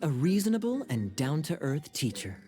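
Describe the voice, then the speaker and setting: A man voicing a suave young gentleman schemer in a video game, smooth, wry and politely teasing. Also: fairly high pitch, very animated, normal speed, faint music behind.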